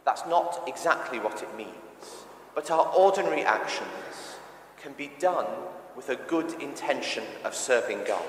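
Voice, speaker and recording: A man's voice: medium pitch, a little hiss or hum. Echoey church sermon from a male preacher. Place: large reverberant church interior. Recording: echoey church with long reverb.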